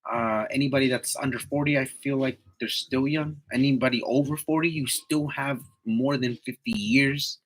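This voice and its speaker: A man's voice: mid-range voice, fairly even intonation, normal speed, hardly any pauses, poor audio. A man in his twenties chatting casually to live viewers in a slangy, motivational way, repeating himself for emphasis. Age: 20s. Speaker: livestream host, tree climber.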